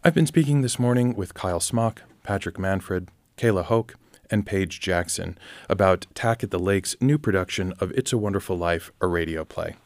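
Deep-voiced male interview host. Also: moderate pace, darkish tone. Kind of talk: conversational interview.

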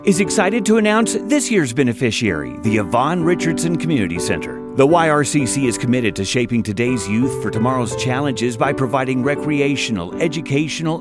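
A man delivers a scripted radio sponsor announcement. His voice is a little high for a man, with animated rises and falls, and plucked guitar music plays clearly behind him.

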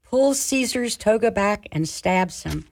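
A woman's voice: mid-range voice, expressive, takes it slowly, gravelly, darkish tone. A woman reading scripted narration and stage directions for a radio drama.

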